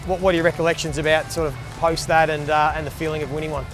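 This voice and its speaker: Quick-talking Australian man hosting. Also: a little high, flat delivery, over music. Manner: chatty, quick. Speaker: host. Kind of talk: casual interview. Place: outdoors in an empty stadium stand.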